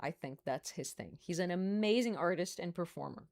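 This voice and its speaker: A woman's voice: on the low side, expressive, moderate pace, vocal fry, a little mellow. A young woman with a Brazilian accent chatting casually on a live stream, laughing often and making playful asides.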